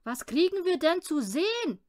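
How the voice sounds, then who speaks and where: Female reader as bragging young boy, storybook; high, animated, dismissive, challenging. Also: normal speed. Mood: scornful.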